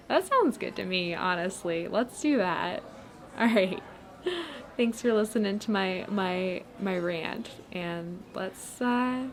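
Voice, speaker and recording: Slow-talking, supportive friend played by a woman. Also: mid-range voice, natural voice. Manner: hesitant, gentle, encouraging, chuckling softly between phrases. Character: supportive, gently teasing writer friend giving a pep talk. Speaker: ASMR roleplayer. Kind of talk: ASMR roleplay, casual one-sided chat. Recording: clear steady background noise, ambience.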